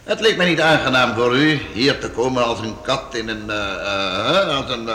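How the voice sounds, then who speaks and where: A man's voice: medium pitch, animated, normal speed, pushed and bright. A man playing a jovial, fussy, wealthy Dutch seigneur in a radio play, effusive and given to repeating his words. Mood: jovial, modest. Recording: echoey reverberant hall with background hiss.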